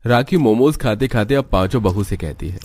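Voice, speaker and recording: Low-voiced man narrating a cartoon story. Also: a little fast, darkish tone, faint music behind.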